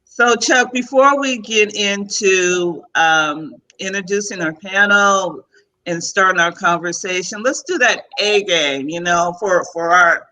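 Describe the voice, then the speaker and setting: A woman's voice: medium pitch, a little slow, pushed and bright, low-quality recording. A woman hosting a talk show panel in a chatty, casual way over a video call, often given to laughing. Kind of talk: casual chat moderating a panel.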